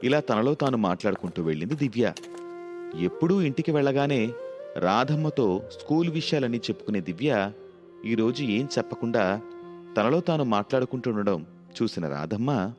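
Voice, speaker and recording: A man's voice: medium pitch, expressive, moderate pace, long pauses, a little mellow. A man narrating a scripted children's story as the storyteller. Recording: music behind the voice.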